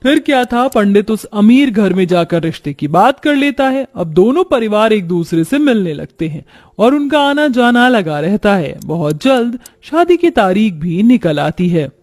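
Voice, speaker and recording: A man's voice: high voice, big pitch swings, moderate pace, a little mellow. A man narrating a scripted cartoon family story.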